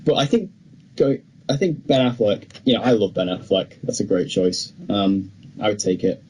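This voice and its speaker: A man's voice: lowish voice, expressive, normal speed, darkish tone, slight background noise, rough recording. A young man co-hosting a podcast, chatting casually and enthusiastically with plenty of opinions. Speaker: podcast co-host. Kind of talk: casual film chat.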